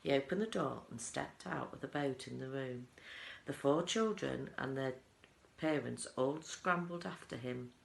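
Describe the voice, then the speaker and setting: A woman's voice: extremely low pitch, a little slow, creaky. A woman reading a children's story aloud as a teaching assistant, expressively leaning on the descriptive words. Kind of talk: storybook narration, read aloud. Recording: roomy, echoing indoor sound.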